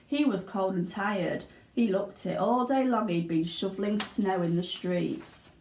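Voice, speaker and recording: A woman giving an expressive narration of a children's storybook. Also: medium pitch, a little slow, slight background noise. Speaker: teaching assistant reading aloud. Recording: roomy indoor echo.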